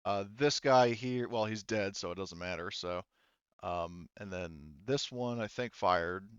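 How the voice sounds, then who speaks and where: A man acting as game master in a tabletop roleplaying game, improvising narration, thinking aloud haltingly and swearing casually. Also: fairly low pitch, clear, resonant tone, dry recording, muffled recording.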